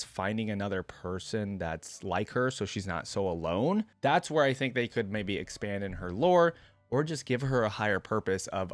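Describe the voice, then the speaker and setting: A man hosting a reaction video with casual, informal commentary, rambling and thinking out loud. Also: on the low side, dry recording.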